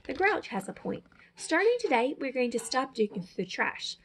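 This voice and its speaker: A woman reads a children's picture book aloud in a dramatic, emphatic way. Her pitch swings widely from phrase to phrase. The voice is husky, with a gravelly fry. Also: big pitch swings, moderate pace. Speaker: storyteller, teacher.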